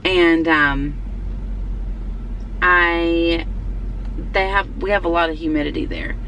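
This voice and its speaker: A woman's voice: on the low side, fairly even intonation, slow, bright, forceful tone, a little hiss or hum, rough recording. A woman from Alabama with a Southern accent, chatting casually to her viewers in a rambling way with many fillers while out running errands. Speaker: vlogger. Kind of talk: casual chat to camera.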